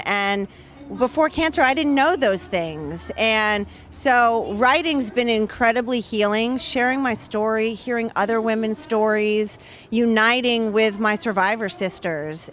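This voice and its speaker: A woman's voice: medium pitch, a bit bright. A woman in her thirties, an author talking earnestly and fluently in an interview at an outdoor event. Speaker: author and guest. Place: outdoor charity race booth area.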